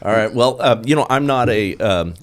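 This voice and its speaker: A man's voice: mid-range voice, expressive, moderate pace. A man talking politics in a casual conversation, stressing his points and checking in with "okay?" and "right?". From United States. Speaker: guest.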